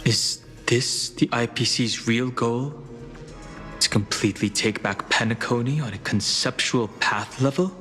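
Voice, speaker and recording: A man's voice: medium pitch, a little slow, pushed and bright. A man voicing a stern, indignant game character who questions in a pointed way. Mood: accusing. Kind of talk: video game voice acting. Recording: long reverb, music underneath.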